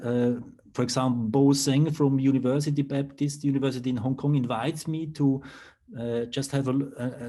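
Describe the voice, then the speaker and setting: A man with a Swiss accent presents over a video call. His voice is mellow and dark. He speaks haltingly, with many fillers and restarts. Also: mid-range voice. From Switzerland. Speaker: university lecturer. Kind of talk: online conference presentation.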